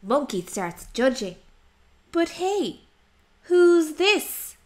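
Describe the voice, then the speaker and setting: A woman narrates a rhyming picture book for children. Her voice is high and very animated, and she takes her time, leaning on key words for dramatic effect. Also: drawn-out words. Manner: expressive, dramatic emphasis. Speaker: storybook narrator.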